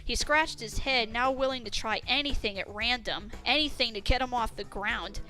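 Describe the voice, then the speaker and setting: Woman, high voice, bright tone, dramatic story narration, faint music behind. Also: normal speed. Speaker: fan fiction narrator.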